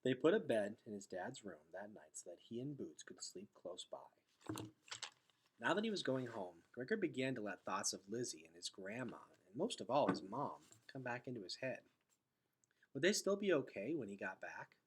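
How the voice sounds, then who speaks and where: A man's voice: mid-range voice, big pitch swings, normal speed, husky. A man hosting a read-aloud and narrating a storybook expressively.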